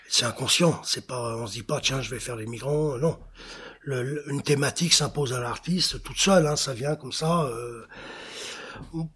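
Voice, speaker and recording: A man's voice: medium pitch, a bit bright, breathy edge. A middle-aged man answering interview questions as an artist, searching for words and often repeating phrases. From born in Senegal, childhood in Africa. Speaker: artist, engraver and painter being interviewed.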